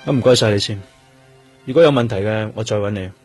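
An actor with a Hong Kong accent playing a determined, probing police detective in a radio drama. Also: male voice, fairly low pitch, quick pace, darkish tone, natural voice. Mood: businesslike. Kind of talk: Cantonese radio drama acting. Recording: music bed behind the voice.

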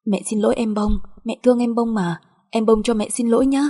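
A woman's voice: on the high side, fairly even intonation, a little fast, dark tone, breathy edge, voice pushed up. A woman voicing a timid young wife and mother, deferential and emotional, in an audio drama. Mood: soothing.

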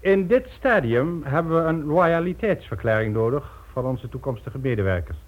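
A man playing a suave, businesslike gentleman ringleader who speaks formally, in a Dutch radio drama. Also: on the high side, expressive, moderate pace. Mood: composed. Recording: dull old radio recording.

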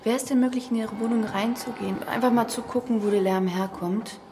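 A woman's voice: fairly high pitch, fairly even intonation, brisk, background noise, close to an everyday voice. An actress playing a by-the-book female police officer in a radio drama, formal, patient and insistent. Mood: polite, official. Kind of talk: naturalistic radio drama dialogue.

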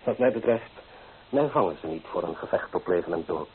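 Low-voiced, proud small-town architect. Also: male voice, expressive, brisk. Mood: defiant. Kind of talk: Dutch radio drama acting. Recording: tinny, hissy old radio play recording.

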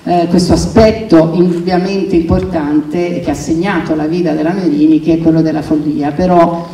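A woman moderating a film discussion, pausing hesitantly as she formulates her points. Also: sits low, fairly even intonation, warm tone. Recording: echoey room, distant mic, audience murmur.

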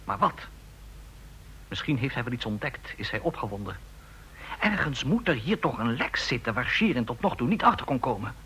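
Bright-voiced ambitious, frustrated man. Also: medium pitch, big pitch swings, moderate pace. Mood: resentful, eager. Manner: insistent, pressing. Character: ambitious, frustrated male junior intelligence colleague. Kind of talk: Dutch radio play acting. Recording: hissy old radio play recording.

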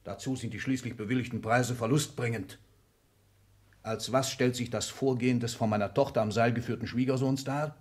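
A man's voice: mid-range voice, fairly even intonation, brisk, darkish tone, lightly acted. An older man playing an ailing, domineering old industrialist in a 1960s German radio drama, commanding and declamatory with clipped, emphatic phrases. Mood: indignant. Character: ailing, domineering old industrialist patriarch, irascible, power-hungry.

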